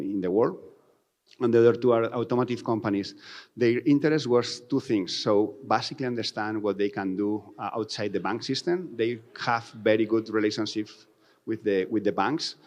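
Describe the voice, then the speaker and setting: Low-pitched Spanish-accented man presenting. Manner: non-native English with reworded phrases. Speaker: company founder presenting. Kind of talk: conference presentation.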